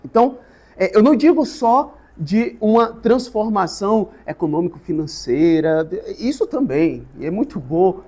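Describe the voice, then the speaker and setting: A man, a guest teacher giving a thoughtful, halting interview answer with many restarts. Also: sits high, lively intonation, mellow. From Salvador, Brazil.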